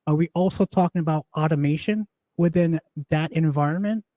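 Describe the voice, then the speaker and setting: A man taking part in a live class, asking an unscripted question in a polite, halting way. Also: fairly high pitch, dark tone, no room sound. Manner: polite, halting, repeats words as he goes.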